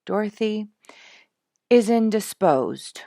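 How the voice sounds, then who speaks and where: A woman's voice: mid-range voice, animated, slow pace, gravelly, mellow, no echo, studio quality, pitched above the performer's own voice. A woman voicing a rueful, dramatic father in a storybook, weary and emphatic as he recounts his troubles. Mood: grave, evasive.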